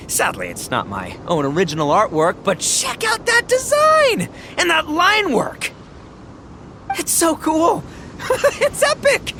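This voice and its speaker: A loud-mouthed, dopey young oni boss for a video game, with a bright, forceful voice that swings wildly in pitch. He talks in slow, bombastic bursts and gets excited easily, over faint noise. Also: full character voice. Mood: awestruck, thrilled. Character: brash, boisterous, dim-witted young male oni gang boss.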